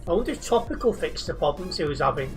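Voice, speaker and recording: Chatty high-voiced man live-streaming. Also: animated, fast, music in the background. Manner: chatty, reads out chat, thanks viewers. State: sleep deprived. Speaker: game streamer. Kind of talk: casual live-stream chat while driving.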